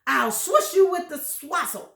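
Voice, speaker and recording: Shrill raging old hag a lady puts on for a kids storybook, loud and high, roomy echo. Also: animated, a little slow, gravelly, exaggerated voice. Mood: furious. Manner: shrieking threats. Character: nasty furious old woman.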